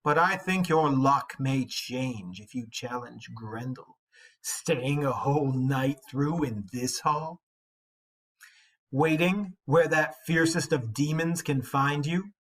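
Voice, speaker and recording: A man voicing a jealous warrior bully from an epic poem, declaiming scornfully with drawn-out, emphatic words. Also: medium pitch, fairly bright tone, rough recording. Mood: taunting, scornful. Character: jealous, boastful-hating male warrior bully, harsh and taunting. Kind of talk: dramatic epic poem read-aloud.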